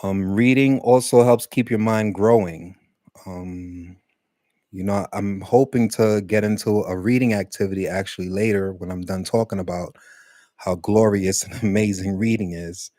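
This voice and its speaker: A man teaching English to adult learners in a loose, rambling talk, full of fillers and slowing down to stress key points. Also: low-pitched, steady tone, warm tone, dry recording, clean studio sound. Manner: conversational, many fillers, speeds up and slows for emphasis. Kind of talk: casual rambling lesson talk.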